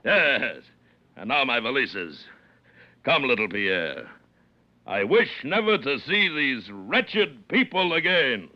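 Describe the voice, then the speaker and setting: A belligerent, half-mad giant of a French smuggler, piercingly forceful and theatrical. His pitch swings widely as he rants and demands in grand, bombastic phrases. The sound is that of a dull old radio drama. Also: big pitch swings, slow, full character voice. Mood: haughty. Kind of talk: 1940s radio drama acting.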